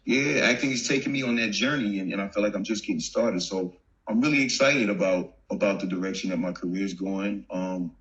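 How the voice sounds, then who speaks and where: A man in his thirties from Virginia with a softened Southern accent, a former football player turned actor chatting easily as a guest, laughing often and full of fillers. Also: on the low side, fairly quick, lo-fi sound. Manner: relaxed, laughs often, many fillers and restarts. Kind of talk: casual interview chat. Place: home, remote video call. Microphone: video call.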